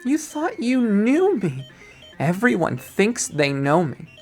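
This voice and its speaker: A man's voice: high-pitched, wildly swooping pitch, takes it slowly, soft background music, voice pushed up, heavily acted. A man voicing a menacing young college woman in a visual novel, swinging between chirpy, icy and taunting. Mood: shrieking anger. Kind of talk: visual novel character acting.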